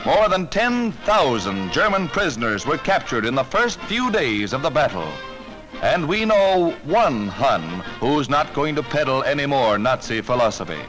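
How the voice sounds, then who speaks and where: A man's voice: medium pitch, big pitch swings, measured pace, low-quality recording. An American man reading a scripted 1940s newsreel narration in an urgent, dramatic, declamatory manner on a vintage soundtrack. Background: loud music.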